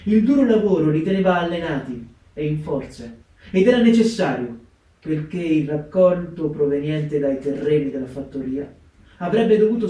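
An actor playing a sober narrator, reading scripted history on stage in a measured, solemn way. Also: male voice, a little high, mellow, close to an everyday voice. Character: sober male narrator recounting wartime history. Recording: very echoey room, distant mic.

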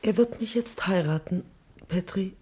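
An actress playing a theatrical, repentant gangster's moll in a German radio play. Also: female voice, mid-range voice, unhurried, mellow, slightly rough. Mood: earnest.

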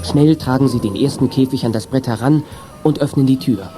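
A man's voice: medium pitch, a little fast, music in the background, close to an everyday voice. A man narrating a children's detective radio play in scripted storytelling prose. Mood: calm storytelling.

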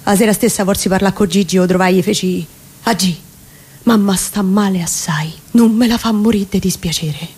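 A woman with a Roman accent playing a distraught working-class man confessing in dramatic dialect verse, pleading and halting. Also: medium pitch, a little mellow, husky, lightly acted. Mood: grieving. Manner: pleading, halting, emotive delivery. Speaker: reciting actress. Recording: live radio performance with background noise.

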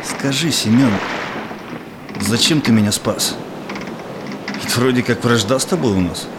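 An actor playing a proud, cynical Cossack robber in a rhymed verse audio play, declaiming emphatically. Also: male voice, medium pitch, a little slow, background noise, close to an everyday voice. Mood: wary, puzzled.

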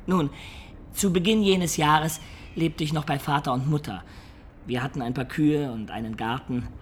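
An actor playing an imaginative peasant-born man who looks back on his life in a German radio play, in reflective, expressive storytelling. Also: on the high side, normal speed, slight background noise, lightly acted.

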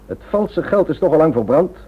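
An actor playing a suave, self-assured and dismissive operative in a Dutch radio drama, with a husky voice. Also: male voice, a little high, sing-song, normal speed, exaggerated voice. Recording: thin, phone-like old radio recording.

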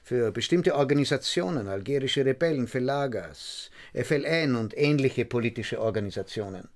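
An actor playing a talkative, cynical police inspector who explains things knowingly and insinuatingly in a crime radio play. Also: male voice, medium pitch, animated, normal speed, lightly acted.

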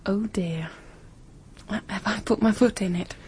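An actress playing a brisk, practical, inquisitive British woman in a radio drama, frank and questioning. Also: mid-range voice, darkish tone, slight background noise, lightly acted. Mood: curious, probing. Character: brisk, practical, inquisitive Englishwoman plotting an investigation.

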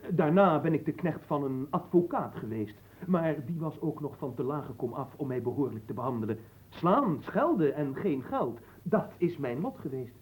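Humble young male servant for radio play, man acting: mellow tone, expressive swings, polite and hesitant. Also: fairly high pitch, moderate pace, lightly acted, low-quality recording. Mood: earnest, polite. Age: young adult. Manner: deferential, hesitant, formal. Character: humble young manservant, tailor's son, earnest and polite.

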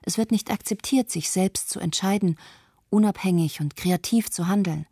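A woman playing a thoughtful, guilt-troubled mother in a measured first-person narration for a radio play. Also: mid-range voice, mellow, slightly rough, dry recording, studio quality. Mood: troubled, pensive. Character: thoughtful Tokyo mother who fled with her daughters, troubled by guilt.

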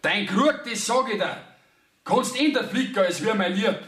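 A sullen young Bavarian farm lad in a radio drama. His voice is fairly high, bitter and stubborn, with audible room echo. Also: animated, moderate pace, fairly bright tone. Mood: bitter, hurt. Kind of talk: 1970s dialect radio play acting.

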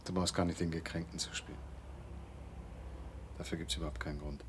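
An actor playing a prickly, withdrawn man in a radio drama, speaking in a terse, defensive, halting manner. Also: rumbling low, little pitch movement, a little hiss or hum. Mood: subdued, curt. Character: prickly, withdrawn, brooding man shutting out his friend.

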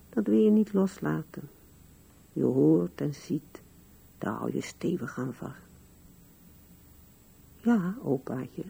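Gravelly, slow old widow. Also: female voice, sits low, warm tone, full character voice. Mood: wistful, resigned. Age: older. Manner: slow, musing, wistful. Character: old widow musing tenderly at her husband's grave. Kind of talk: radio drama acting, reflective monologue.

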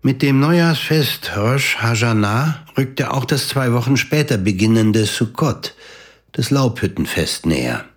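An actor playing a composed literary narrator, delivering scripted narration in a measured, formal way for a radio drama. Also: male voice, mid-range voice, expressive.

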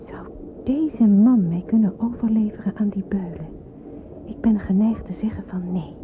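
Through a tinny, noisy old radio recording comes a young woman played by an actress, anxious and wary. She speaks in a hushed, deliberate radio-drama manner over a train-like rumble, as someone afraid of where she is being taken. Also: close to an everyday voice. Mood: anxious, brooding. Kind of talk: radio play acting with hushed inner monologue.

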